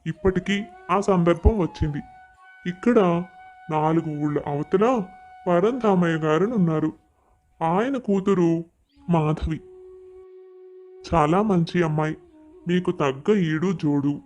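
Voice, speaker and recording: A man's voice: a little high, unhurried, pauses often, a little mellow, faint music behind, lightly acted. A man playing an eager, deferential village matchmaker in a moral story, respectful and persuasive. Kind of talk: animated moral story dialogue.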